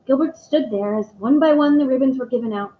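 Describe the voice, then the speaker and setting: A woman teacher reading a picture book aloud to young children, expressive and speaking to them directly. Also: high-pitched, brisk, slight background noise. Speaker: elementary school teacher reading aloud to pupils. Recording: echoey room, dull muffled microphone.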